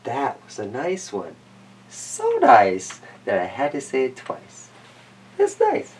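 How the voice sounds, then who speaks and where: A man with a mid-pitched, very animated voice hosts a casual storytime. He is playful and folksy, wandering into chatty asides. He sounds a bit far from the mic, with faint noise in the recording. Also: takes it slowly. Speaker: host reading picture books aloud. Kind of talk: casual, chatty read-aloud to camera. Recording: roomy distant mic with slight noise.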